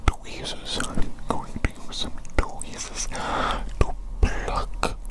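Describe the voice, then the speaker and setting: A performer voicing a pompous evil mad doctor in a whispered ASMR roleplay, deliberate and theatrical, repeating phrases. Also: fairly bright tone. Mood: soothing, attentive. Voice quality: breathy unvoiced whisper. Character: pompous evil mad doctor tending his monstrous creation.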